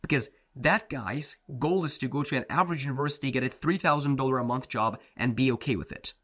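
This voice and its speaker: A man's voice: medium pitch, quick pace, a bit bright. Blunt casual man, Turkish native. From Turkey. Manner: blunt, casual, slangy, says "bro" and "like" often. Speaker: self-improvement coach. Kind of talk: motivational talk to camera.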